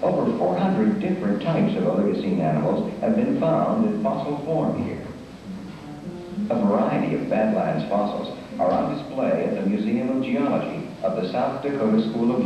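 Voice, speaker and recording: A man reading a scripted documentary narration in a measured, informative way. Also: medium pitch, big pitch swings. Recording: echoey, distant, dull camcorder recording of a narration with music. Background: music.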